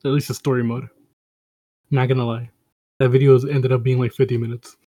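Young dude on a podcast, kinda husky voice, slangy and blunt, tripping over his words. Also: medium pitch, level tone, brisk, mellow, no room sound. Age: young adult. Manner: slangy and blunt, with stammered restarts. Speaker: podcast co-host. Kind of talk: casual chat among friends.

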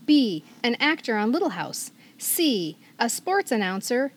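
A middle-aged woman with a high voice co-hosts a casual podcast. She plays quizmaster in an animated, joking way, keeping the delivery playful and conversational. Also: measured pace.

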